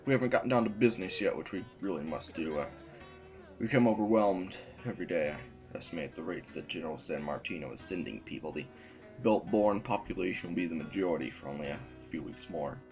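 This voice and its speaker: A man's voice: low voice, fast talker, darkish tone, faint music behind. A man playing a stern, embattled sovereign in an audio drama, speaking in a formal, weary, imperious way. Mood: businesslike.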